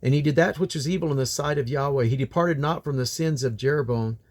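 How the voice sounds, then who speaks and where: A man with a creaky, gravelly voice reads the Bible aloud in a deliberate, solemn way, phrase by phrase. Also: mid-range voice, a little mellow. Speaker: Bible reader. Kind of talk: scripture reading aloud.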